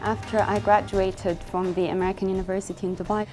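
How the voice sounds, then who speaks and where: A woman's voice: medium pitch, level tone, fast talker. Earnest young woman, faint music behind. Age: young adult. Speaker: fashion brand founder. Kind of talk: interview monologue.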